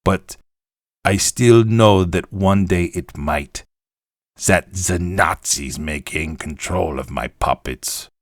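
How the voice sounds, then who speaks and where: An actor playing a weary, haunted old puppet maker, reading his journal in a grave, deliberate, theatrical way for a game audio log. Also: very deep voice, fairly bright tone, no echo, clean studio sound, heavily acted. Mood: grave, resolute. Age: older.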